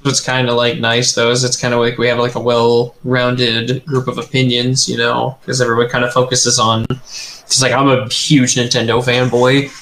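A man's voice: medium pitch, monotone, moderate pace, fairly bright tone, a little hiss or hum. A man in casual, enthusiastic conversation as a gaming show co-host, with slangy talk and plenty of swearing. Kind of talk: casual chat. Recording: roomy echo.

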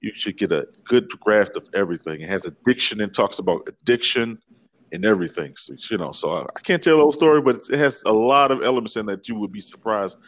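Male filmmaker from D.C., very low voice, chatty and rambling guest. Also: lively intonation, fast talker. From Washington, D.C. area, USA. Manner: rambling, many fillers and restarts. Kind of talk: casual interview. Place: remote call from Italy.

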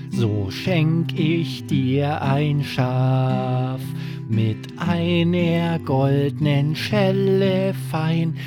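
Bedtime-story rabbit played by a man: raised pitch, playful, teasing, protective of his little friend. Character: teasing but caring toy rabbit, fatherly boastful companion.

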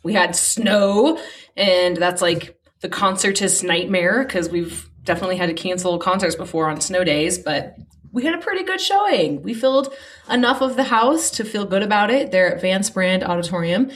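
A woman's voice: mid-range voice, normal speed. A woman co-hosting a podcast, upbeat and chatty. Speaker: podcast co-host. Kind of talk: casual podcast chat.

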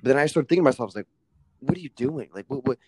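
A man's voice: medium pitch, lively intonation, very fast, darkish tone, breathy edge, dry recording. A young man in casual podcast conversation, talking emphatically with plenty of fillers and casual swearing. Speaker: podcast guest. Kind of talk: casual chat.

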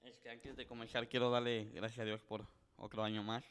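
Rambling twenty-something host. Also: male voice, mid-range voice, flat delivery, brisk, a bit bright, clear, resonant tone. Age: 20s. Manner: rambling storyteller, many filler words, switches between Spanish and English. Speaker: podcast host. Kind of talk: casual bilingual chat.

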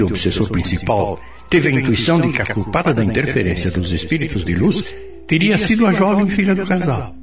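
A Brazilian man playing a patient, authoritative spirit mentor in a radio drama, explaining things in a didactic, expressive way. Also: medium pitch, close to an everyday voice. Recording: roomy, distant, music behind.

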